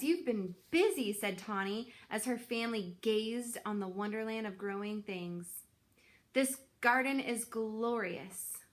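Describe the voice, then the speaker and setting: A woman narrating a children's book aloud in an expressive storyteller style. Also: mid-range voice.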